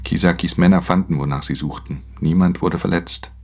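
An actor playing a wary, world-weary pickpocket in a radio play, terse, hushed and matter-of-fact in his telling. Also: low voice, steady tone, brisk, gravelly. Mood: terse, grave. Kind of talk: German radio play narration and dialogue. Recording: music under the voice.

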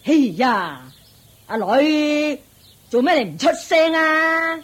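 A woman's voice: high voice, big pitch swings, slow pace, heavily acted. An actress playing a scornful, bossy mother-in-law in a radio drama, sneering and hectoring in Hong Kong Cantonese. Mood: nagging.

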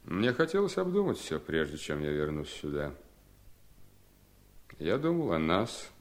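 An actor playing a weary, ailing husband in a radio play, thoughtful, courteous and quietly firm. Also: male voice, low voice, wildly swooping pitch, measured pace, full character voice. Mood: reflective. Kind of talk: 1970s Soviet radio play acting.

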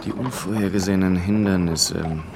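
An actor playing a restless, wordy gentleman writer on his travels in a literary radio play. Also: deep voice, steady tone, normal speed, heavy background noise. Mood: deliberate, wry.

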